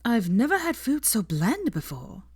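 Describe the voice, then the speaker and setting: Woman voicing a confident, lively young Italian woman. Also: a little high, measured pace, slightly rough, no echo, clean studio sound, voice pushed up, full character voice. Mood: disdainful.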